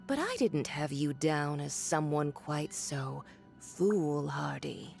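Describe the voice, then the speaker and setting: Cold snooty villain lady, slow and low, looking down on everyone, quiet game music behind. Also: animated, gravelly, full character voice. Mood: threatening. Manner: cold, condescending, mocking. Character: haughty cruel noblewoman diplomat villain. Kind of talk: video game cutscene acting.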